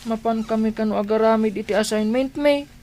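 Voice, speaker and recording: A woman's voice: a little high, brisk, warm tone, slight background noise, close to an everyday voice. A woman with an Ilocano accent playing a plaintive young child who confides in a parent, in a radio drama. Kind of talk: Filipino radio drama acting.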